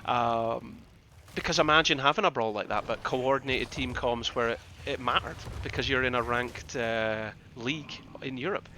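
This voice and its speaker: A man from the Scottish Highlands with a Scottish accent, casting a game live in a conversational, rambling way and repeating phrases for emphasis. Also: moderate pace, few pauses, bright, forceful tone, a little hiss or hum. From Scottish Highlands, northeast Scotland. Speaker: esports caster and streamer. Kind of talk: live game commentary with casual asides to chat.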